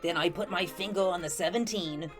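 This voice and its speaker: A woman's voice: lowish voice, a little fast, music in the background, close to an everyday voice. A woman playing a wry, good-natured ghost who narrates a radio play in slangy, streetwise, colloquial style. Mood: wry storytelling. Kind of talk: first-person radio play storytelling.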